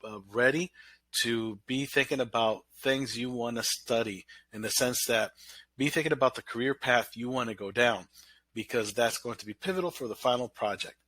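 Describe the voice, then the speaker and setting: A man's voice: medium pitch, normal speed, a bit bright, no room sound, rough recording. A man, a college instructor, talks through an online course in a casual, rambling way with many fillers. His voice is nasal from an illness. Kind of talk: recorded online course walkthrough.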